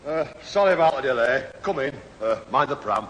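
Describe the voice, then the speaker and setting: An actor playing a blunt, grumbling, middle-aged working-class husband with a Northern English accent in a 1970s radio sitcom. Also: male voice, medium pitch, expressive, fairly quick, a bit bright, lightly acted. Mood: flustered. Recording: echoey old radio hall, faint hiss.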